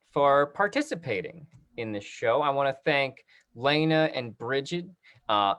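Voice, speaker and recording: A man hosting a casual online talk-back, speaking in a friendly, encouraging way with halting starts and frequent fillers. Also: fairly high pitch, a bit bright. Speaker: host and online programming director of a theater company. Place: online video call.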